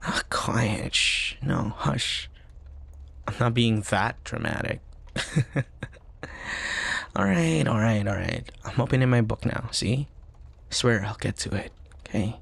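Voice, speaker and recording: A man playing a young college student, a protective, teasing and tender best friend, in an intimate ASMR roleplay with soft, sighing, hesitant delivery. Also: medium pitch, takes it slowly, long pauses, dry recording, full character voice. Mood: playful, teasing. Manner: soft, sighing, hesitant stammers, breathy asides.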